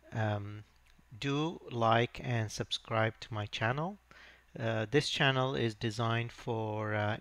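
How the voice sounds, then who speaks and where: A man hosting his own channel, talking through a screen-recorded networking tutorial in a halting way with many fillers. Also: on the low side, fairly bright tone, no echo. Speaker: channel host and networking instructor. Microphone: voice-over on a screen recording.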